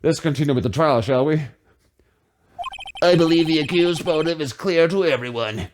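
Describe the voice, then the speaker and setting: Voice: medium pitch, moderate pace, a little hiss or hum, natural voice. A performer voicing a formal, slightly befuddled old male judge in a dramatic reading of a video game. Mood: solemn.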